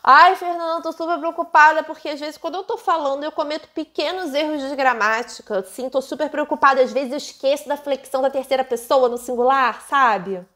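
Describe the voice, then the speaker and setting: A woman's voice: high voice, a little fast, few pauses, a bit bright. A Brazilian Portuguese woman putting on an anxious young female student who whines about her accent and mistakes. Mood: fretful. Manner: whiny and fretful.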